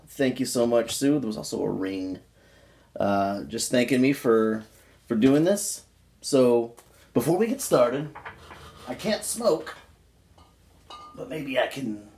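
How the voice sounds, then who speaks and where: A man with a folksy, informal manner, chatting casually and reading aloud from a book in an unhurried way. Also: mid-range voice, a little mellow. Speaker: pipe-smoking video creator reading a book aloud.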